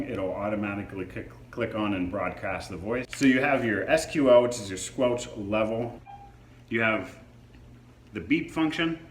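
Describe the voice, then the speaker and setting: A man's voice: on the low side, fairly bright tone. A man reviewing a product in a casual, hands-on demo, explaining features informally. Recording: echoey room with faint background noise.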